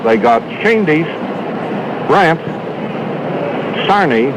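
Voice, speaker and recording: Male American baseball announcer, 1950s radio play-by-play, highish voice with lively swings, very noisy old broadcast. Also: drawn-out words. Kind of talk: 1950s radio sports commentary. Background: loud steady noise.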